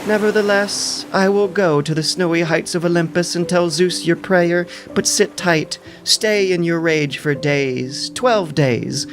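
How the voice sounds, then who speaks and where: A man voicing a grieving sea goddess mother, lamenting tenderly in a drawn-out audio drama delivery. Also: a little high, fairly even intonation, soft background music, voice pushed up. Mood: tender, resolved.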